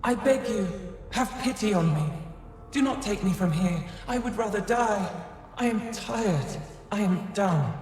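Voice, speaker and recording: A woman's voice: medium pitch, animated, measured pace, a little mellow, a little hiss or hum. A woman voicing an ancient female alien AI in a video game, weary, proud and formal, with a pleading edge. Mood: weary, bitter. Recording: echoey hall with long reverb.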